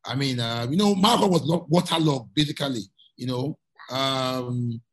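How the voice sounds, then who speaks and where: A man's voice: medium pitch, moderate pace. A Nigerian man from Lagos, answering interview questions earnestly and often repeating words as he searches for them. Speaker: guest journalist.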